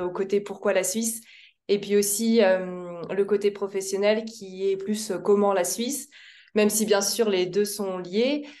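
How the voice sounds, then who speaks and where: A woman in her thirties giving a casual career testimony on a video call, with relaxed fillers and small restarts. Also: mid-range voice. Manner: casual, with fillers like bah and hein. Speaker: guest speaker.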